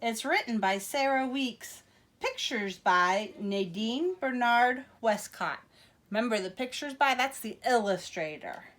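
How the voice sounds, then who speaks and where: A woman reading a picture book aloud to children in an expressive, teacherly way, with asides to young listeners. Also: a little high, unhurried.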